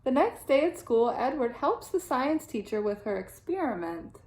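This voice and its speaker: Echoey, high-voiced woman reading a storybook. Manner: animated, deliberate phrasing with dramatic sound-effect words. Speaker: teacher reading to children. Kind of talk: expressive children's storybook read-aloud. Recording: echoey room sound.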